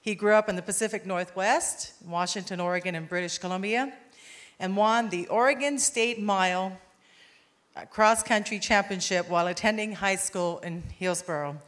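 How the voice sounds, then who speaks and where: A woman's voice: mid-range voice, normal speed, fairly bright tone, reverberant. A woman hosting a public meeting, reading a prepared introduction of the guest speaker. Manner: reads a prepared biography. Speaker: event host.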